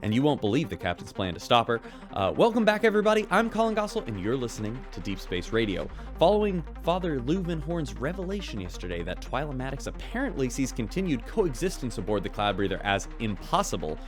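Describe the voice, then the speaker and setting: A man's voice: mid-range voice, sing-song, fast talker, faint music behind. Upbeat man hosting a space radio show. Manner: upbeat, chatty. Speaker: radio host. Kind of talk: scripted radio drama host.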